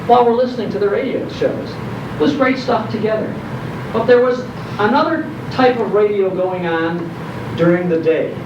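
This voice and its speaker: Older american guy doing a folksy nostalgia talk, dramatic pauses, muffled roomy live recording. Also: high-pitched, distant mic, noisy background. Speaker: guest speaker on old-time radio.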